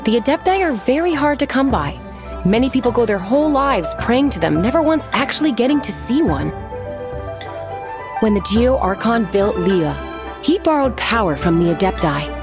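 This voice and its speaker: An actress playing a composed, knowing, gently wry woman who runs an inn in a video game. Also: on the high side, very animated, over music. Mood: knowing, informative. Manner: measured, knowing, lightly amused.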